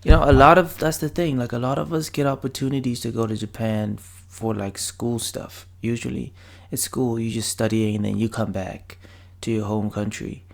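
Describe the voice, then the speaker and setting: A man's voice: mid-range voice, flat delivery, moderate pace, gravelly. A man doing a rambling, slang-filled reaction commentary on a video. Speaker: reaction vlogger.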